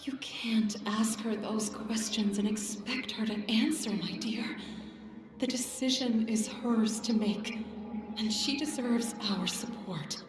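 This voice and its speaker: A woman playing a gentle, supportive mother in a video game. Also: fairly high pitch, takes it slowly. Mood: reassuring. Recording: echoey, distant, music behind.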